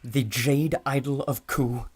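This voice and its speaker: An actor playing an earnest, cautious archaeologist in a pulp adventure audio drama, bookish, polite and excitable. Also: male voice, mid-range voice, slow pace, natural voice. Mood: absorbed, excited.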